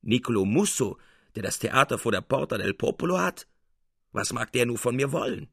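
A male reader plays a scrawny old composer from an Italian tale. He is vain, fussy and easily riled, with a creaky, husky voice recorded dry and close. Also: mid-range voice, animated, moderate pace, fairly bright tone, no room sound, exaggerated voice. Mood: pensive suspicion. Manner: self-important, fussy, exclamatory.

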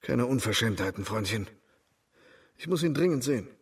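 A gravelly, haughty stranger, off-mic. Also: male voice, medium pitch, animated, normal speed, recorded from a distance. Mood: imperious. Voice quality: gravelly, husky. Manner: imperious, furtive. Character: shabby but haughty, secretive bearded stranger of high standing. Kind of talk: radio drama acting.

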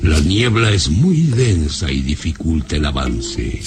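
An actor playing a sinister, imperious baron in an old-time radio drama, brooding and contemptuous. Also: male voice, very deep voice, sing-song, full character voice. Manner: imperious, brooding, contemptuous, drawn-out deliberate phrases. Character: sinister, scornful baron, a commanding father. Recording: music under the voice. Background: dramatic music.

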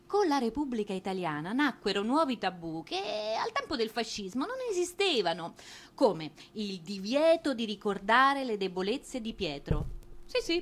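A woman presenter delivering theatrical scripted narration with an ironic, emphatic manner. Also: on the high side.

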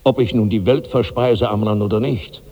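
Karnak, an actor as a deep, brooding old glutton. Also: male voice, steady tone, normal speed, highs cut off, natural voice. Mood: morbid, resigned. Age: 60s. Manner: brooding, morbid, weighty delivery. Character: Karnak, monstrous, weary 65-year-old giant glutton. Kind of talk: 1960s German radio play acting, declamatory monologue. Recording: long reverberant hall.

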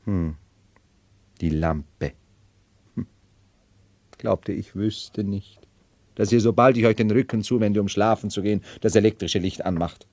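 Deep-voiced, brooding mock-mad emperor. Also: male voice, unhurried, long pauses, darkish tone, no echo. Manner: theatrical, mercurial, dwelling on words then bursting out. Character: brooding nobleman feigning madness as a medieval emperor. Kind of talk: 1970s German radio play acting.

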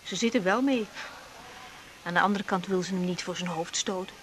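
A woman's voice: fairly low pitch, fairly quick, a bit bright, slight background noise, lightly acted. An actress playing a composed, direct young woman doctor in a naturalistic radio drama. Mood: confiding. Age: young adult.

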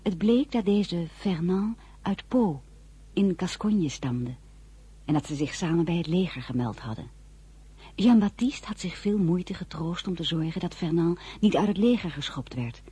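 Radio drama lead: tender young bride and narrator, very husky and mellow. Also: female voice, fairly low pitch, lively intonation, measured pace, heavily acted. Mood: calm narrating. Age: young adult. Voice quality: husky, breathy. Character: Désiré, tender young newlywed wife telling her own story.

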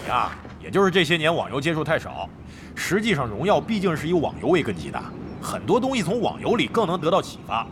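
A man's voice: fairly high pitch, huge pitch swings, fast talker, noisy background. An actor playing a brash, crafty middle-aged veteran gamer in a Chinese audio drama, cocky and slangy, grumbling and swearing as he banters. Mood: opinionated.

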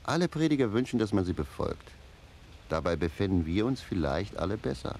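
Deep-voiced, wry manservant. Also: male voice, big pitch swings, a little fast, darkish tone, a little hiss or hum. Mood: wry, arguing. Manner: wry, argumentative. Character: shrewd, philosophizing manservant, wry and familiar with his master. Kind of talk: German radio play acting.

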